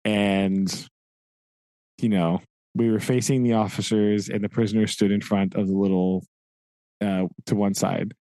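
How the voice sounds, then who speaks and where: A man's voice: on the low side, fairly even intonation, measured pace, dark tone, no room sound, poor audio. Man casually retelling a story, dry sound. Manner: retelling a story with frequent "right?" and laughs. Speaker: podcast co-host. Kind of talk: casual book discussion.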